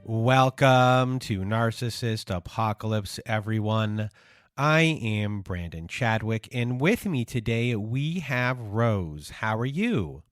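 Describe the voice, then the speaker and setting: A man's voice: on the low side, expressive, slow, no room sound, soft background music. Earnest male podcast host, dry sound. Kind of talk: podcast introduction.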